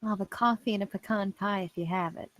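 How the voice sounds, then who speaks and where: A woman's voice: mid-range voice, steady tone, fast talker, a bit bright, no echo, natural voice. Composed, skeptical female detective, acted by a woman. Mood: composed, probing. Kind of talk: film script table read.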